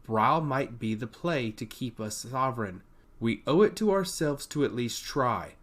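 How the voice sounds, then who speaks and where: A man's voice: mid-range voice, lively intonation, moderate pace, a bit bright. A man voicing an earnest, insistent princess who pleads her case in a fantasy story. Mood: insistent pleading.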